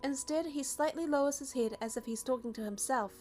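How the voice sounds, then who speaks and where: A woman narrates a game story in the first person, reading it aloud. Her voice is high, and faint music plays behind her. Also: a little fast, warm tone.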